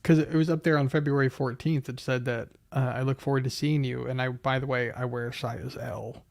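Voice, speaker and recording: A man's voice: medium pitch, flat delivery, a little fast, creaky, darkish tone, no room sound, clean studio sound. A man trading casual banter as a panelist on a live stream.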